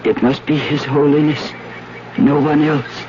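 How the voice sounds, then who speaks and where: An actress playing an anguished, worn French woman doing penance, solemn and halting, in a 1970s radio drama. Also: sits low, fairly even intonation, noisy background. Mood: weary, resolute. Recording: dull 1970s radio recording with music.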